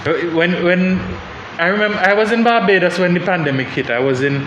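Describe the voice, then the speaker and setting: A young man talks through his views on a panel, pausing and restarting as he thinks. His voice sits a little high, and the room is echoey with steady background noise. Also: dull audio. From Saint Vincent and the Grenadines. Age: young adult. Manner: thoughtful, hesitant, many restarts. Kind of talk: panel discussion.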